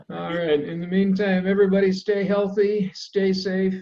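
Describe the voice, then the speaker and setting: A man hosting an online club meeting in a relaxed, chatty way, with plenty of fillers. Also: sits high. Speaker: meeting host. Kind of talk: casual meeting wrap-up. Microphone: video call. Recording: dull lo-fi call audio.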